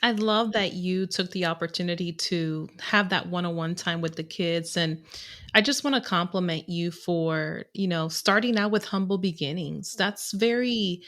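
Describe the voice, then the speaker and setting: A woman with a somewhat low voice co-hosts a conversational podcast. She rambles through compliments and loosely built questions in a casual, chatty way. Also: moderate pace, a bit bright. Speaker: podcast co-host. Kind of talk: casual interview.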